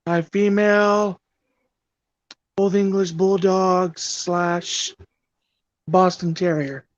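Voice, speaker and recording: A man's voice: high voice, little pitch movement, drawn-out words. A man in his mid thirties, a guest in a casual livestream chat, easygoing and rambling. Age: 30s. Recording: dry muffled stream audio.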